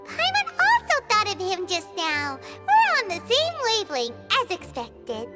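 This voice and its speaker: A woman voicing a tiny, excitable, childlike female fairy sidekick in a video game, with a cutesy manner. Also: very high-pitched, measured pace, loud music behind the voice, heavily acted. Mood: confident. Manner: cutesy, refers to self by name.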